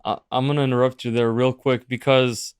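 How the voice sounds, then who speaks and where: Young man, podcast host, casual, animated, smooth clear voice, dry sound. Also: medium pitch, brisk, no echo. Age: young adult. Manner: animated, casual, uses "like" often. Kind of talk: interview chat.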